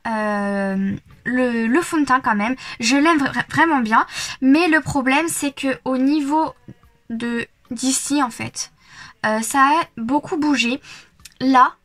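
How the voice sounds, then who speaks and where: A woman's voice: sits high, a bit bright. A young adult woman vlogging a casual makeup review at home, chatty and hesitant, often repeating words. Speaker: beauty vlogger.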